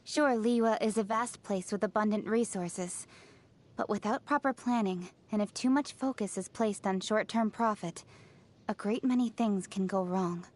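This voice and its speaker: A woman voicing a confident, brisk, principled young female city official in a video game, articulate and businesslike. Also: medium pitch, darkish tone, lightly acted. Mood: composed, businesslike. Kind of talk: scripted video game character voice lines.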